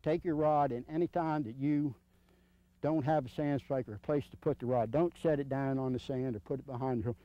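A man's voice: medium pitch, fairly even intonation, brisk, no room sound. Dull-sounding recording, plainspoken man instructing. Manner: plainspoken, folksy, repeats instructions. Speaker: surf fishing instructor. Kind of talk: informal hands-on instructional demonstration. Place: outdoors on a sandy beach. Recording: dull lo-fi old video sound.

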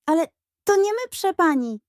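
A woman's voice: very high-pitched, very animated, normal speed, no room sound, clean studio sound, pitched above the performer's own voice. A woman voicing a baffled schoolboy bully who whines and exclaims in a children's audio story. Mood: whining.

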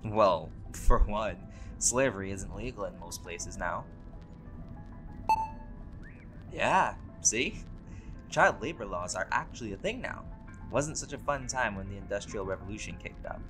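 Casting: male actor as an ancient, easygoing vampire for audio roleplay, lowish voice, wry and vain, music underneath. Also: expressive, moderate pace, lightly acted. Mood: earnest, amused. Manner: casual, wry, sweary, bantering. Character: laid-back centuries-old male vampire, vain, wry, teasing. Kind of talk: conversational roleplay audio drama.

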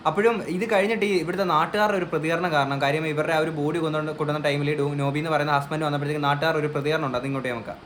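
Guy doing earnest opinion commentary, talks super fast, kinda high voice, bit of noise behind. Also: steady tone. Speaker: commentary vlogger. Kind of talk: opinion commentary on a news case.

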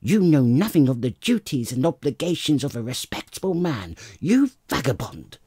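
A man voicing a furious barber's wife who screams insults at her husband, raising his voice high and loud. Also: big pitch swings, normal speed, husky, dry recording, clean studio sound. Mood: furious scolding. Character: shrill scolding middle-aged barber's wife.

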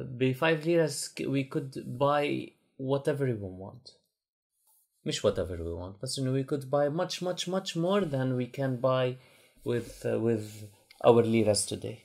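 A man's voice: mid-range voice, lively intonation, normal speed, vocal fry, a little mellow. A man with a Lebanese accent narrating a bedtime story as a father would, explaining patiently.

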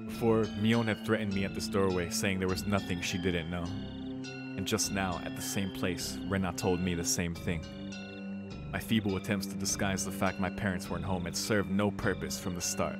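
A man's voice: sits low, fairly even intonation, moderate pace. A man reading a visual novel aloud as a streamer, with casual, sweary asides. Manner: casual, profane reactions between read passages. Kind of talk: let's-play narration with casual asides. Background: game music.

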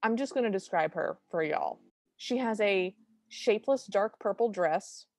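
A woman co-hosting a podcast, casually recapping a film with dry, deadpan humor. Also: medium pitch, unhurried, no echo. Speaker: podcast co-host.

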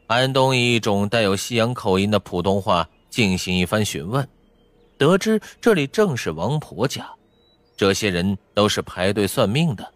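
Low-voiced, expressive male audiobook narrator. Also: normal speed, no room sound. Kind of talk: scripted dramatic fiction narration. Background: faint background music.

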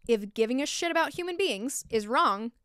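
A young woman with an American accent tells a true story from a script, with candid asides. Her voice sits slightly high and is very smooth and clean. She is frank and informal, recorded close on a desk mic with a dry, intimate sound. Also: lively intonation, moderate pace, fairly bright tone, no echo. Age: young adult. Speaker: true-crime storyteller, host. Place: small log-walled room indoors. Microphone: close desktop microphone.

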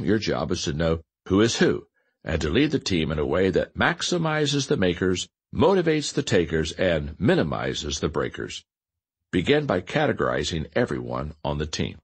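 Deep-voiced man narrating an audiobook, lively intonation. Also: breathy edge. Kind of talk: scripted nonfiction audiobook narration.

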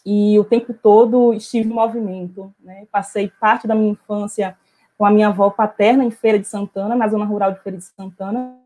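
A middle-aged woman from Bahia, giving an academic talk over a video call on an earphone headset, measured and full of hesitations. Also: mid-range voice, fairly even intonation, mellow. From Bahia, Brazil. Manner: measured, many hesitation fillers. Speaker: invited speaker, author. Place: small room at home, indoors.

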